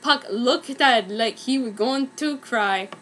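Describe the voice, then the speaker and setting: Young woman w a really high voice doing a mock earnest dramatic fanfic reading, comedy style. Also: a little slow, fairly bright tone. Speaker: fan fiction reader. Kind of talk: dramatic reading of a fan story, played for comedy.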